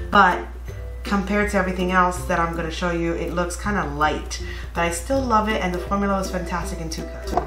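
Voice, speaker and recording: A woman in her thirties chatting casually about nail polish, gushing and excited, with many fillers. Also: lowish voice. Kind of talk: casual vlog chat. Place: bedroom at home. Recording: echoey room with faint music.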